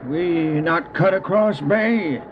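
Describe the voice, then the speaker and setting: An actor playing an anxious father and trapper who speaks halting, broken English in an audio drama. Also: a little high. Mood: worried, pleading. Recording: dull, noisy old cassette recording.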